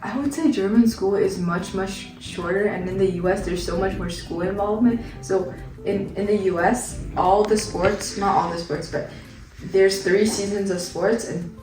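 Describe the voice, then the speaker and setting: A woman's voice: mid-range voice, moderate pace. A young American woman from New York explaining her exchange thoughtfully. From Long Island, New York. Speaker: exchange student. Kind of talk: casual chat. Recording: echoey room, distant mic, music behind.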